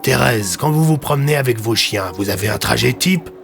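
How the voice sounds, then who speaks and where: A man's voice: mid-range voice, animated, a little fast, vocal fry, a bit bright, faint music behind. A man voicing a firm, courteous senior police commissioner in an audio drama, authoritative and formal.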